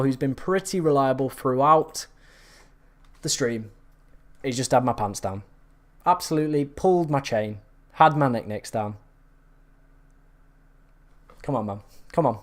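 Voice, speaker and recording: A man on a live stream, talking casually and answering viewers as their comments come in. His voice is a touch hoarse after hours on air, and it sits at an ordinary middle pitch for a man. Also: expressive, unhurried, pauses often. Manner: chatty, reacting to viewer comments. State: slightly hoarse from long talking.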